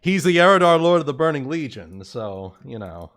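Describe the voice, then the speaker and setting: A man's voice: on the high side, very animated, moderate pace, fairly bright tone. A man in casual gamer chat on a livestream, jokey and prone to dramatic quoting. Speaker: host of a tabletop game livestream. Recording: music behind the voice. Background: background music.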